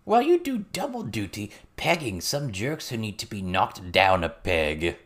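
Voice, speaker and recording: A man's voice: fairly low pitch, big pitch swings, normal speed, a bit bright. A man voicing a crude, boisterous old male goblin who tells stories in a blustering, mocking way.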